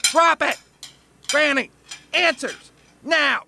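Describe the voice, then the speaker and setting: Shrill, feisty, exasperated youngster. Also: male voice, slow, gravelly, background noise, exaggerated voice. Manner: wordy, theatrical complaining. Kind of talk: comedic audio drama acting.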